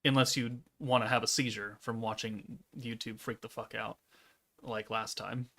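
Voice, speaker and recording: Male streamer, 30s, gravelly and deadpan, laid-back monotone chat. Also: medium pitch, moderate pace. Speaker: gaming streamer. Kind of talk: casual live-stream chat, reading chat aloud.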